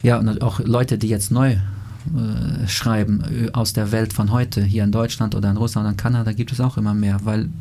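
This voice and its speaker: A man speaks in a dark, mellow voice with little rise and fall, almost monotone. He explains patiently and in an orderly way, with small pauses and fillers. His first language is Plautdietsch, and the setting is a radio interview. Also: on the low side. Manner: measured, explanatory, with frequent fillers. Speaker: interviewee, language association member.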